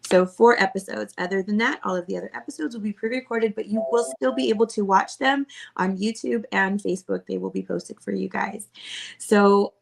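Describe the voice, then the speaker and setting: A woman's voice: mid-range voice, a little fast, gravelly. A woman co-hosting a casual podcast chat, bubbly and teasing, laughing often. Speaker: podcast co-host.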